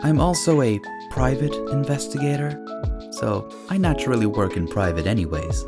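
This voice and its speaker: A man's voice: mid-range voice, animated, moderate pace, close to an everyday voice. A man playing a wry, aloof young private investigator in a fan audio drama, with a sardonic manner. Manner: sardonic, dry-witted. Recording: long reverb, faint music.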